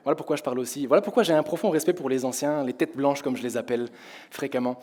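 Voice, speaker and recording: A young man from France preaching to his congregation in a church hall, his voice hoarse, talking in a conversational way and asking the congregation questions. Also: mid-range voice, quick pace, a little mellow. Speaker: pastor. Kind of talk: sermon. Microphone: headset with in-ear monitor.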